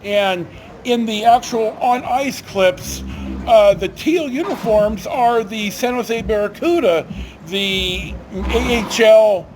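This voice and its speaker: An older, retired man telling stories casually to camera in a halting, rambling, self-correcting way. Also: high-pitched, noisy background. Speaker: video storyteller.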